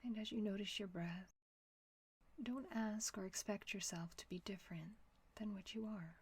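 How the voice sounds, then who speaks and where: A woman leading a guided meditation in a soft, whispery, gentle voice with long pauses. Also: mid-range voice, slightly rough.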